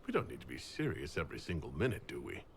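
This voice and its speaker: An actor playing a suave, devoted male adventurer in video game dialogue, speaking softly, sincerely and in measured phrases. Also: rumbling low, wildly swooping pitch, vocal fry, breathy edge, exaggerated voice. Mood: reassuring.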